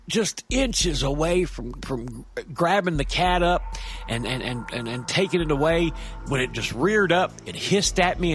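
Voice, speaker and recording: An actor playing a nervy, rambling street-wise man who tells a horror story in a halting, stammering, conversational way, with music behind him. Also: fairly high pitch, a bit bright. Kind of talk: audio drama first-person horror monologue.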